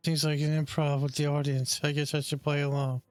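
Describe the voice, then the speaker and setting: A man's voice: fairly high pitch, flat delivery, fairly quick, no echo, faint music behind. A man giving casual, short reactions as he hosts a gameplay video. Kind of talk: casual live game commentary, short reactions.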